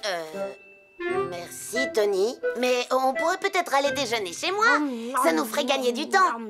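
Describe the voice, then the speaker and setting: A woman voicing a polite, excitable young wolf boy in a cartoon dub, with music behind. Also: high voice, moderate pace. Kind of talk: French cartoon dub acting.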